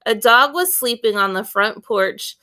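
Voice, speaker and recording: A woman reading a children's book aloud as an expressive storyteller, with deliberate, clear phrasing. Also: fairly high pitch.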